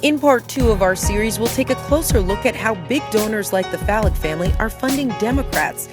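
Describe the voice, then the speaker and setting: A woman's voice: mid-range voice, animated, brisk. Female news reporter, scripted narration, mid-range voice over a music bed. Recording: music under the voice. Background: background music.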